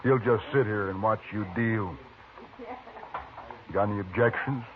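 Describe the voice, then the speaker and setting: A man's voice: fairly low pitch, a little slow, background noise, natural voice. A man playing a cocky, suspicious cowboy gambler with a taunting folksy drawl in a 1950s radio Western. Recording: tinny old radio recording with music behind.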